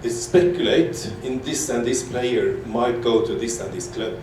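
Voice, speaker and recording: A man from Norway asking a question from the floor at a panel, halting and repeating his words. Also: mid-range voice, darkish tone, slight background noise. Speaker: audience member asking a question. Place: large echoey conference hall. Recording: echoey hall audio from far off.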